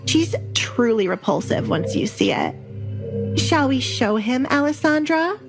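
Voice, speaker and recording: A woman's voice: sits high, expressive, unhurried, creaky, over music, pitched above the performer's own voice, exaggerated voice. A woman voicing a jealous, haughty young noblewoman in an audio drama, scoffing and indignant. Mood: scornful.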